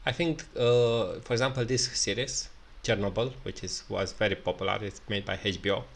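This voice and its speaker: A man with a Romanian accent, speaking English in an unscripted solo commentary, halting with many fillers and restarts. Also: fairly low pitch, steady tone, vocal fry. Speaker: video commentator.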